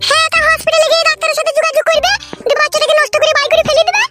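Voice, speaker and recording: A performer voicing a sharp-tongued, nagging wife in a comedy cartoon. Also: very high voice, flat delivery, racing, over loud music. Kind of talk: Bengali comedy cartoon dubbing.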